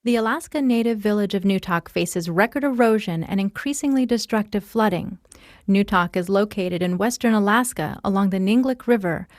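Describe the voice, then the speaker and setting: A woman's voice: medium pitch, no echo, clean studio sound. A woman reading scripted narration for podcasts and radio, as a host and reporter.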